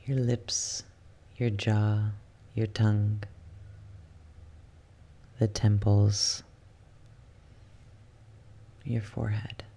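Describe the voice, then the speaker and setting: A soft, hushed voice guiding a yoga nidra relaxation in ASMR style, soothing and unhurried, slipping at times into a whisper. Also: mid-range voice, flat delivery, creaky, slightly rough. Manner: soothing, unhurried, with long pauses. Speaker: guided relaxation instructor.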